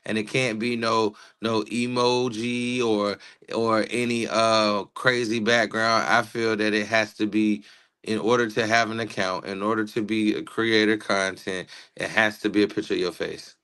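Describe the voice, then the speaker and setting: A man's voice: lowish voice, flat delivery, normal speed, sharp tone, clear, resonant tone. A man co-hosting a political livestream, making his case in an emphatic, argumentative, slangy way. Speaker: livestream co-host. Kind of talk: casual political debate chat.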